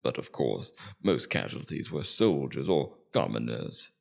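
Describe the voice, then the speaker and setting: A man playing a scheming, immortal Demon King in an ASMR roleplay, taunting and persuasive, with theatrical, deliberate emphasis. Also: extremely low pitch, sing-song, creaky, heavily acted. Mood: cynical, scornful. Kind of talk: ASMR roleplay, scripted villain monologue.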